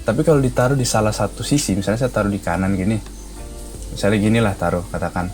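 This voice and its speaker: A man's voice: lowish voice, fairly even intonation, fast talker, a little mellow, faint music behind. A man with an Indonesian accent giving a casual, chatty gadget review to viewers, explaining things informally. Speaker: product reviewer.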